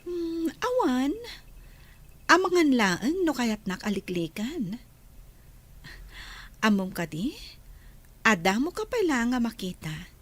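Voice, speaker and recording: Seductive female co-worker, Ilocano accent, slow coaxing pace, breathy edge, radio drama style. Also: a little high, sing-song, full character voice. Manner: coquettish, coaxing, drawn-out delivery. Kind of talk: Filipino radio drama acting.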